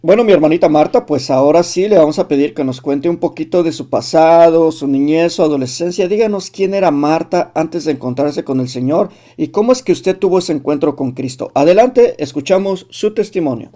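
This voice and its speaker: A man's voice: a little high, expressive, a little fast, hardly any pauses, warm tone. A man hosting a religious testimony program, pressing viewers directly and interviewing a caller. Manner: urgent, exhorting, direct address to viewers. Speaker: host of a testimony channel.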